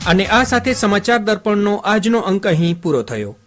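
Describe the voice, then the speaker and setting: Higher-voiced male newsreader over clear music. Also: sing-song, normal speed. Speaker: news presenter. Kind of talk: scripted radio news narration.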